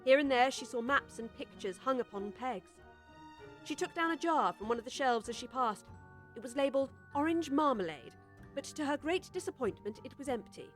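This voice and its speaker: Female audiobook narrator, fairly high voice, expressive storybook delivery, music underneath. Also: moderate pace.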